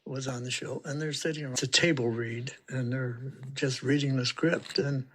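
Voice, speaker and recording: A man with a husky voice, casually telling an anecdote as an interviewee. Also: mid-range voice, fairly even intonation, normal speed, low-quality recording.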